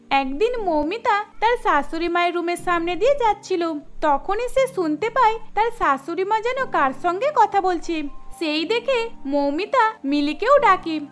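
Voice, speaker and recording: High-pitched woman narrating a cartoon. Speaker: narrator and voice actor.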